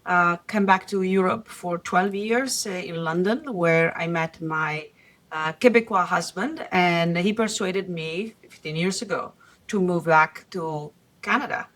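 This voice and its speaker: A middle-aged woman with a slight Italian accent, from Italy, explaining her career calmly as a guest in a podcast interview, pausing often. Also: fairly low pitch. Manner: measured, explanatory, with frequent "uh" pauses. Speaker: guest investor.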